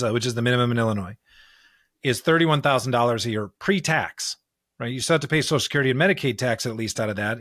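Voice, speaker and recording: A man's voice: medium pitch, a bit bright, no room sound, studio quality. An American man co-hosting a religious discussion podcast, speaking earnestly and emphatically and repeating phrases to build his points. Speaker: podcast co-host, preacher.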